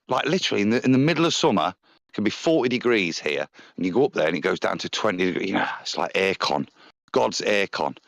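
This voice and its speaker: A lower-pitched man with a Nottingham English accent talks in a chatty, rambling way, his voice swinging widely up and down as he tells stories. The recording is dry and close, with no room sound. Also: creaky, fairly bright tone, dry recording. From England. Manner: chatty storyteller with broad pitch swings and impressions. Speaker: panel guest. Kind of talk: casual chat on a live stream.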